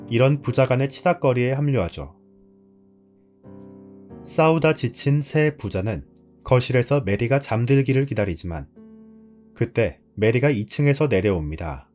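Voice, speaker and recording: A man's voice: mid-range voice, a little mellow. A man hosting a scripted review show, narrating and reflecting on a drama in a storytelling style. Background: background music.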